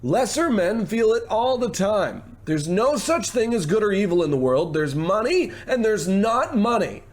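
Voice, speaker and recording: A man's voice: high voice, big pitch swings. A man playing a slick, pushy, glib producer who sweet-talks like a salesman in an old-time radio comedy. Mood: smarmy, condescending. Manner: fast-patter salesman, gushing pet names.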